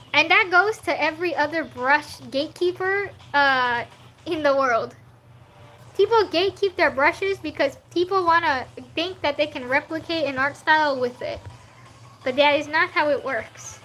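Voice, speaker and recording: A woman's voice: high voice, sharp tone, a little hiss or hum. A woman, not a native English speaker, chatting casually on a livestream while she draws and answers chat, laughing often. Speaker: streaming artist.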